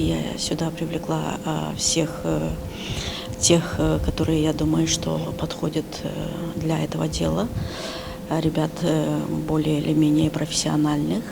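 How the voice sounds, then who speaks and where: Low, gravelly woman over noticeable background noise. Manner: halting, hesitant phrasing. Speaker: project coordinator. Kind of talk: interview statement. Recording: clear background noise on location.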